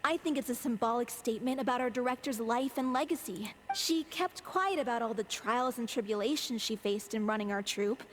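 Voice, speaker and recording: Casting: woman as sincere, reflective young singer for anime game, fairly high, measured delivery. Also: animated, slight background noise, natural voice. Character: gentle, reflective young female troupe singer. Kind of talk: anime-style game voice acting. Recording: music under the voice.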